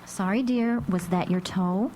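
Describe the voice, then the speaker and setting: A woman playing a caring, reasonable mother in a radio drama, gently teasing. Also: medium pitch, measured pace, lightly acted. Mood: motherly, concerned. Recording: live radio recording, noisy background.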